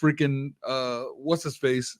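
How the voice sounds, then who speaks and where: A man's voice: mid-range voice, fairly even intonation, slow pace, dry recording, studio quality. A man hosting a hip-hop radio show, chatting casually with slangy, affirming hype.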